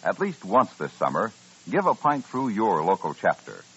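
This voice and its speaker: A man's voice: lowish voice, wildly swooping pitch, moderate pace, fairly bright tone, slightly rough. A man reading a scripted announcement in the style of a 1950s radio announcer. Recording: dull old radio recording.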